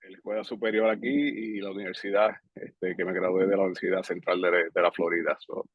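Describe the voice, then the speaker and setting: A husky, somewhat low-pitched man, talking things through in an unhurried, measured way and pausing often to find his words. The recording is dry and close, with a slightly dull, muffled top end. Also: no room sound. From Puerto Rico. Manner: relaxed, measured, with frequent hesitations. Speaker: guest, public safety director. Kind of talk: casual interview talk.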